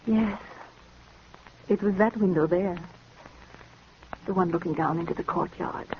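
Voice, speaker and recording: An anxious, gentle sister played by a woman in a vintage radio thriller. She speaks earnestly and theatrically, with a genteel, trusting air. The sound is muffled, with light noise behind it. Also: on the low side, a little slow, lightly acted. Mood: uneasy. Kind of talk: 1950s radio drama acting.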